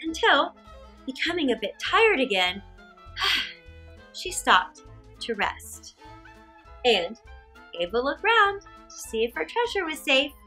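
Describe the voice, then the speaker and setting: A middle-aged woman reading a story aloud to young children in a theatrical, expressive way with drawn-out words. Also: high-pitched, faint music behind.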